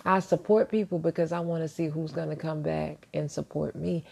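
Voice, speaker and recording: A self-assured man talks on his podcast in a soft, deep voice with a gravelly edge. His tone is dark and mellow. He keeps it hushed and reflective, circling back with small restarts. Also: steady tone, normal speed. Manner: speaking quietly so as not to wake neighbors. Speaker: podcast host. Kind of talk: casual motivational monologue. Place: at home, early morning.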